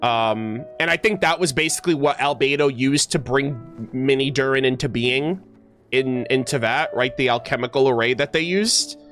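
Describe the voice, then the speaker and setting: Female game streamer: casual, excitable reactions, slangy talk, faint game music underneath. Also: a bass voice, moderate pace. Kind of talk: casual gameplay reaction commentary.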